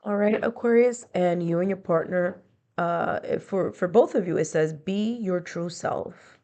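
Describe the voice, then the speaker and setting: A woman's voice: fairly low pitch, measured pace, mellow. A woman with a congested, stuffy nasal voice gives a conversational tarot and astrology reading, explaining each point with strings of near-synonyms. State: stuffy, congested from being under the weather. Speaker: tarot reader.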